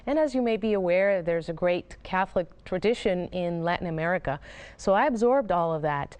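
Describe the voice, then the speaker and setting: Woman from Costa Rica, interview guest, smooth resonant low-ish voice, measured and reflective. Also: lively intonation, darkish tone. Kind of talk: recorded interview.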